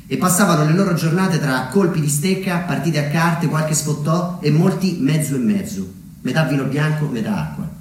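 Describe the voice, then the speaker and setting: Echoey, higher-voiced male stage storyteller. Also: lively intonation. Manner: measured delivery with deliberate dramatic pauses. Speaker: theatre monologist, storyteller. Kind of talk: scripted theatrical narration. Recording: very echoey room, distant mic, slight noise.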